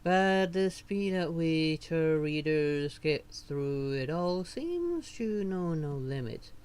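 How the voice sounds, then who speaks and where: Streamer reading weary novelist woman, slow and halting. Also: medium pitch, lively intonation, darkish tone, clean tone. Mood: weary, earnest. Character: weary, earnest female light novelist in a fantasy game. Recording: noticeable background noise.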